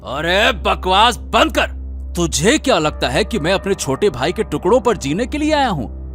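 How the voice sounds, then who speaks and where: Cartoon villain, played by a man: greedy scheming elder brother, highish swooping voice, sneering, drawn-out delivery. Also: big pitch swings, a bit bright, soft background music. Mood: scornful gloating. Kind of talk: Hindi cartoon moral story acting.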